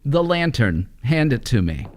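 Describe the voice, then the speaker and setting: An actor playing a cold, selfish, middle-aged scientist husband in an impatient, condescending manner in an old-time radio horror drama. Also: male voice, medium pitch, very animated, unhurried, darkish tone. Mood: dismissive.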